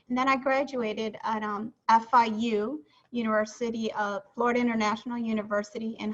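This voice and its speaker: A woman in her forties, from Honduras, presenting her resort on a video call in an unhurried way with frequent ums. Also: a little high, fairly bright tone, lo-fi sound. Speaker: resort booking director presenting. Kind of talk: webinar presentation.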